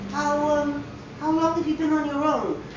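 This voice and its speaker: A woman's voice: sits high, fairly even intonation, normal speed, pitched above the performer's own voice, natural voice. A woman voicing a shy, polite elderly spinster in a dramatic story reading, flustered and stammering with a nervous, eager manner. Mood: awkward. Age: older. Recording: roomy echo, distant mic, dull hissy sound.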